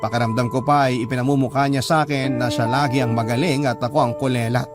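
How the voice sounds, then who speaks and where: A man's voice: medium pitch, fairly even intonation, fast talker, close to an everyday voice. A man playing a hurt, resentful young student who loves dancing, narrating in the first person for a radio drama. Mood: hurt, reflective. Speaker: narrator reading a letter-writer's story. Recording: clear music under the voice.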